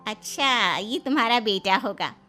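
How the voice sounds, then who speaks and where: Bright, nosy middle-aged lady friend, acted by a woman. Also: fairly high pitch, expressive, unhurried, faint music behind. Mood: surprised, teasing. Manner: inquisitive, exclaiming.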